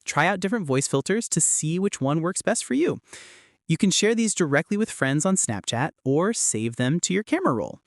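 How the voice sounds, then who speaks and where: A man narrating a scripted how-to tutorial as its host, giving clear step-by-step instructions. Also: fairly high pitch, sing-song, normal speed, no echo, studio quality.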